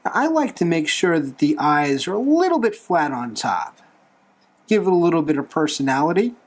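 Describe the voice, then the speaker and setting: Someone hosting a how-to-draw lesson, bit raspy, pitch moves around a lot, jokey and encouraging. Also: very animated, moderate pace. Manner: playful, light humour, encouraging. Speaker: host of a drawing tutorial show. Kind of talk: step-by-step drawing tutorial narration.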